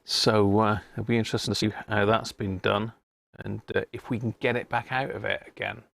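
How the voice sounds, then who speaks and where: A man's voice: lowish voice, little pitch movement, brisk, vocal fry, fairly bright tone, no echo. A British man hosting a livestream, chatting to viewers in an informal, matey, casual way. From United Kingdom. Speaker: livestream host. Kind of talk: casual live chat to viewers.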